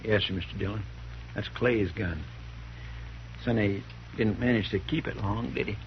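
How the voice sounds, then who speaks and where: An actor playing an eager, folksy deputy sidekick in a 1950s radio drama. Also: male voice, fairly low pitch, normal speed, a little hiss or hum, lightly acted. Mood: obliging. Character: helpful, eager deputy sidekick, young man. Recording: dull lo-fi 1950s radio broadcast.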